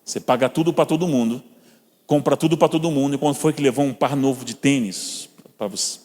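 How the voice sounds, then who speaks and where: A man with a Brazilian Portuguese accent preaching to a congregation, mixing scripture with personal stories. He speaks at a middle pitch and addresses the listeners directly as brothers. His voice rings with the long reverb of a church hall. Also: a little fast, a little mellow. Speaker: guest preacher.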